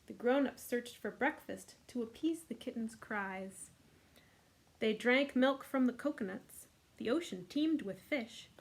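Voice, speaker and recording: A woman hosting a children's storytime, reading a picture book expressively with casual asides. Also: on the high side. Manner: expressive, voices the characters' lines.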